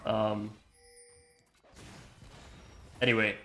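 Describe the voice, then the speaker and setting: Young adult man, American accent, bright voice, casual rambling gameplay commentary. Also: lowish voice, level tone, moderate pace. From Iceland. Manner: rambling, full of likes and you-knows. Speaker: gamer and video creator.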